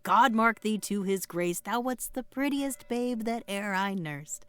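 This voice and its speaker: An actress playing a garrulous, bawdy, fond older nurse, rambling theatrically in a Shakespearean audio play. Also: female voice, mid-range voice, animated, a little slow, clean tone, faint music behind, lightly acted. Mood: fond, teasing. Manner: rambling, theatrical, chuckling storytelling.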